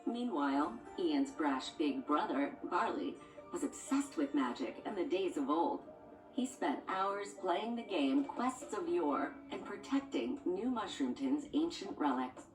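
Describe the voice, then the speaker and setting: Low-voiced woman narrating a children's story, roomy. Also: sing-song, unhurried. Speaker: read-along story narrator. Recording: roomy, distant sound with music underneath. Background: music.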